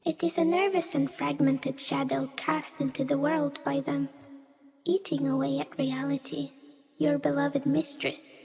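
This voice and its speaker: Woman as a high, slow, cryptic creature. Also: flat delivery, vocal fry, a little mellow. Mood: cryptic, solemn. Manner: solemn, riddling, deliberate. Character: weary, cryptic otherworldly small creature, fed up. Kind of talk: poetic radio play monologue. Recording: long cavernous reverb.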